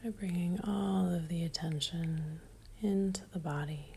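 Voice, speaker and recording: A woman leading a guided meditation in a soft, breathy near-whisper, calm and gentle, leaving long pauses. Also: on the low side, slight background noise.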